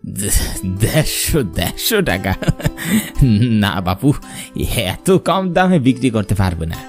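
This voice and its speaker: A man plays an emphatic village man in a cartoon. He speaks plainly, with a very lively rise and fall in pitch. Faint music runs underneath. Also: a little slow. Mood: reluctant bargaining.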